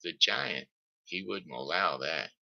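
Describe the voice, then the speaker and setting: A man's voice: low voice, expressive, slow pace, creaky, pushed and bright, exaggerated voice. A man playing a kindly little old woman. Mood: gentle. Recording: dull lo-fi recording.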